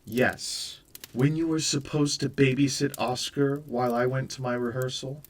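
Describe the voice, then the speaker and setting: A man's voice: medium pitch, steady tone, moderate pace, pitched above the performer's own voice, close to an everyday voice. A man voicing a stern, exasperated young mother who scolds firmly and reproachfully. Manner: firm and reproachful. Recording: voice a bit far from the mic.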